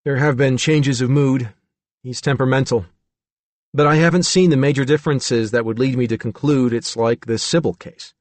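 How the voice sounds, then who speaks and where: A man's voice: medium pitch, normal speed, no echo, natural voice. A man reading an audiobook, voicing a woman psychologist who reasons with an earnest, persuasive manner.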